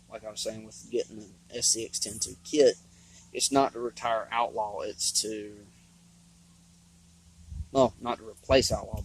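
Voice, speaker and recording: A man's voice: lowish voice, creaky, a little hiss or hum, low-quality recording. A man casually talking about his RC trucks outdoors on a trail, thinking aloud and correcting himself as he goes. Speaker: RC hobbyist vlogger. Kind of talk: casual rambling talk.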